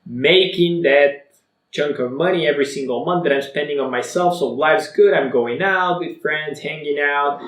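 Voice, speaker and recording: A man in his twenties from Bulgaria, whose first language is Bulgarian, telling his personal story to camera in an energetic, motivational, conversational way. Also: on the high side, moderate pace, roomy sound, low-quality recording. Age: 20s. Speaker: vlogger.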